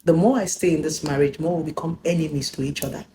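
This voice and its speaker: A woman's voice: sits low, fast talker, noisy background. Emphatic Nigerian woman in her thirties. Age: 30s. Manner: emphatic, preaching, mixes in Pidgin. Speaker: relationship speaker. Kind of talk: personal talk to camera.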